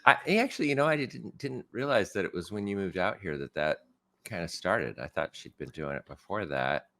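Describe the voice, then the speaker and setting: A middle-aged man co-hosting a casual podcast, a bit hoarse and tired this morning, joking and laughing at himself. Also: low voice, expressive, fairly quick, a bit bright. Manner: joking, self-deprecating, lots of laughs. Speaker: podcast co-host.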